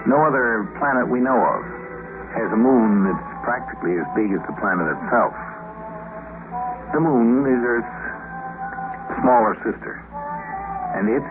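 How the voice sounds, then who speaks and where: A man hosting a 1950s radio series, giving earnest, deliberate closing commentary. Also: medium pitch, lively intonation. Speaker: series narrator and host. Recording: narrow vintage radio recording under loud music. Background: music.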